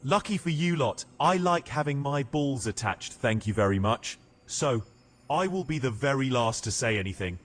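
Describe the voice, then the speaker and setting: A man's voice: medium pitch, lively intonation, moderate pace, soft background music, lightly acted. A man playing a wry, self-preserving guild assassin in a fantasy audio drama.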